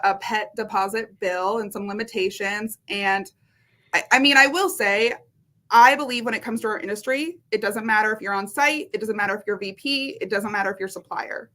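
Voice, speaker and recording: A woman with a bright, forceful voice, a little higher than most, talking as a guest in a casual interview. She is talkative and energetic, with frequent laughs. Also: fairly quick. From Washington state.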